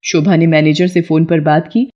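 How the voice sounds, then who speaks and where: Mellow woman narrating a story. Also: low-pitched, steady tone, normal speed, highs cut off. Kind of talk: scripted narration of a moral story.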